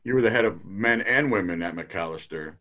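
A man's voice: low-pitched, lively intonation, fairly quick, pushed and bright. A man hosting an interview in a casual way, friendly and a little hesitant, with many fillers. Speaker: podcast host.

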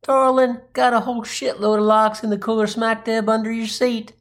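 A doting, unflappable suburban mom acted out by a male storyteller, pitched up high. She talks in a folksy, soothing way, sprinkling endearments through a comic tale. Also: level tone, normal speed, fairly bright tone. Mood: cheerful.

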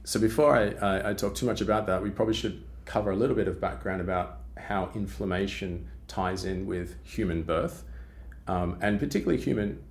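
A middle-aged man from Australia with an Australian accent softened by years in Britain, explaining research in a podcast interview with frequent fillers and restarts. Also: sits low, a little fast. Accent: Australian with British influence. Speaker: guest scientist.

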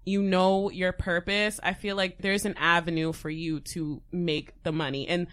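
A woman in a casual chat, animated and asking the others questions. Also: lowish voice, normal speed.

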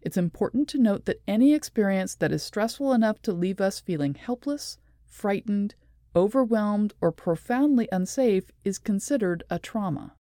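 Woman, mid-pitched, mellow tone, scripted narration, deliberate, articulate delivery. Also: animated, dry recording, clean studio sound. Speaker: presenter. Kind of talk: scripted explanatory narration with read quotations.

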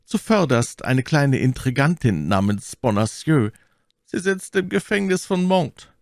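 A man's voice: medium pitch, big pitch swings, unhurried, creaky, dry recording, studio quality, voice pushed up. A man voicing a scheming, vengeful noblewoman who speaks with formal, insistent deference in a storybook reading. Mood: deferential, calculating.

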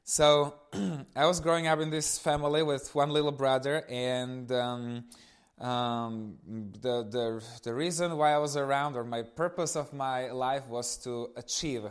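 Man, thirties, Slovak accent, medium pitch, informal guest speaker, animated storyteller. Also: measured pace, smooth. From eastern Slovakia. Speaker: guest speaker giving testimony. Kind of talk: informal personal testimony to an audience.

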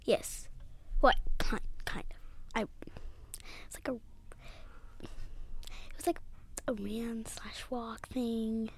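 A female speaker chatting casually as a podcast co-host, soft-spoken and hesitant, often trailing off. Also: a little high, steady tone, takes it slowly, pauses often, whispered. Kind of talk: casual family chat.

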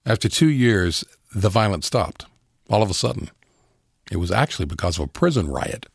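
A man narrating a true story in a steady, serious scripted style. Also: low-pitched, moderate pace, gravelly, husky, no echo, clean studio sound.

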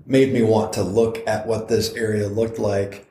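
A man's voice: lowish voice, flat delivery, unhurried, dark tone, reverberant, a little hiss or hum. A middle-aged man co-hosting a podcast, chatting in a rambling way with frequent restarts. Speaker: podcast co-host. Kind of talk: casual chat.

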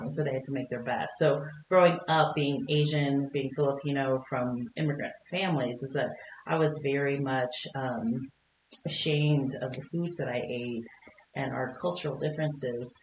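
A middle-aged woman from Kentucky, a podcast guest, talking in a warm, chatty way with frequent laughs and fillers. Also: a bass voice, reverberant, a little hiss or hum, poor audio. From Kentucky, United States. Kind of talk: conversational interview. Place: podcast studio.

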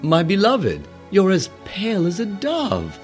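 An actor playing a suave, condescending gentleman villain in a theatrical fairy-tale radio drama, with a courtly, archaic delivery. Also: high voice, huge pitch swings, unhurried, faint music behind, full character voice. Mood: courtly, fond.

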